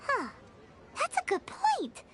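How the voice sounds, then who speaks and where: A woman voicing a small, excitable, childlike fairy companion with a squeaky voice and chatty manner in a video game. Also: takes it slowly, over music, heavily acted. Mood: curious, suspicious. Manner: chatty, refers to self in third person.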